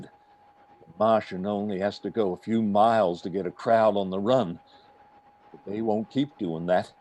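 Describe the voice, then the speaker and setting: An actor playing a paranoid, beaten militia soldier in a radio play, with a jittery, stammering, emphatic delivery. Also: male voice, on the low side, warm tone, close to an everyday voice. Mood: grim, intense. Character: paranoid, defeated militia soldier guarding his territory. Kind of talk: radio play reading, dramatic dialogue.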